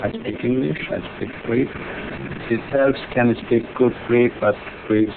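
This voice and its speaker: Halting man. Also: medium pitch, steady tone, gravelly, background noise. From Cyprus. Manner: halting, simple non-native English. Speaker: tailor. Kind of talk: interview answers. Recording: tinny lo-fi audio.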